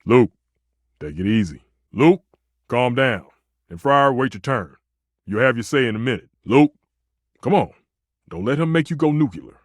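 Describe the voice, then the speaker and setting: A man's voice: mid-range voice, expressive, moderate pace, pauses often, no room sound, studio quality, lightly acted. A man voicing a passionate, proud kitchen stove in a video game, a dramatic food purist who speaks emphatically and with grand flourishes. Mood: soothing. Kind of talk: theatrical video game character dialogue.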